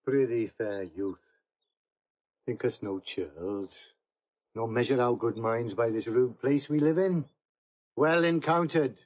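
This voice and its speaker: A man's voice: medium pitch, slow, pauses often, a little mellow. Hearty fatherly huntsman. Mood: welcoming. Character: hospitable, fatherly older cave-dwelling huntsman. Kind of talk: theatrical Shakespearean acting.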